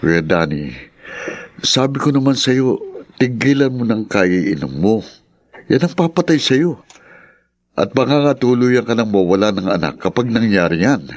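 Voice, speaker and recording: A man's voice: lowish voice, big pitch swings, measured pace, long pauses, no room sound. A man with a Filipino accent playing a concerned younger friend who speaks frankly and admonishingly in a radio drama. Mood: concerned reproach. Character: concerned, scolding younger male friend of a drunkard.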